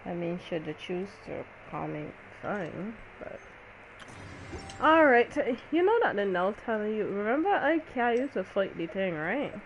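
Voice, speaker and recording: A woman's voice: medium pitch, big pitch swings, unhurried, poor audio. A woman chatting casually with friends as she plays a game, playful and slangy, often thinking aloud. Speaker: gaming vlogger. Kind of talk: casual gaming chat with friends. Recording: clear background noise.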